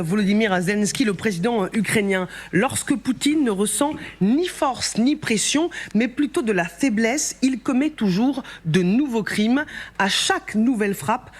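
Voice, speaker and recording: A woman's voice: medium pitch, moderate pace. Female news presenter moderating a debate.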